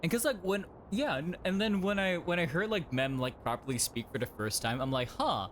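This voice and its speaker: A man's voice: a little high, fairly quick, fairly bright tone, a little hiss or hum. A man streaming a game, chatting casually and rambling with lots of fillers and restarts. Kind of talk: casual chat while playing.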